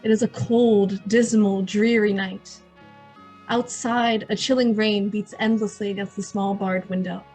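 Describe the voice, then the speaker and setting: A woman announcer narrating an old-time radio drama in a solemn, deliberate way with dramatic pauses. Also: mid-range voice, flat delivery, darkish tone, slightly rough. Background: music.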